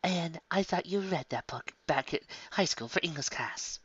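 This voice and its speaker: A man's voice: sits high, very animated, fairly quick, fairly bright tone, no room sound, dull audio, voice pushed up, heavily acted. A man reading a fan story aloud, voicing a stern, guilt-tripping ghostly mother who scolds her grown son. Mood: scolding. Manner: strict, scolding.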